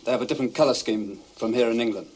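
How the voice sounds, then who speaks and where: A man's voice: mid-range voice, rapid-fire, a little mellow, slightly rough, close to an everyday voice. A man playing a matter-of-fact technical expert. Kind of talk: 1960s television drama acting.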